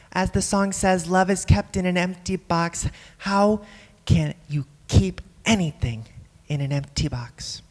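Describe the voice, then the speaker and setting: An actress playing an earnest, melancholy, philosophizing online video reviewer in a staged monologue, measured, deadpan and musing. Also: female voice, fairly low pitch. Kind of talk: live staged play monologue. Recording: echoey theatre hall, live stage.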